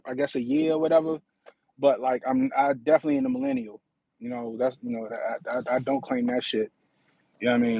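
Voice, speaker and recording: A man's voice: on the high side, creaky, darkish tone, no echo, rough recording. A man in his thirties hosting a casual livestream, talking in a slangy, profane way and often asking "you know what I mean". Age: 30s. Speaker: livestream host.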